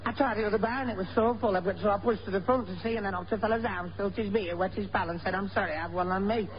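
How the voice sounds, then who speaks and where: A man's voice: high voice, steady tone, racing, natural voice. A man playing a gormless, good-natured young Northern Englishman who dithers and stammers, in 1960s radio comedy style. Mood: muddled, earnest. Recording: noisy, dull old radio recording, loud audience.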